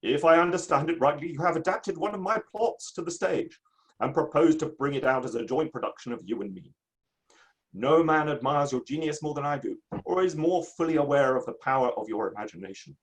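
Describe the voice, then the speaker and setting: A man's voice: on the high side, lightly acted, poor audio. A man in a dramatic play reading, playing a proud, aggrieved Victorian writer with a formal, emphatic delivery. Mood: troubled.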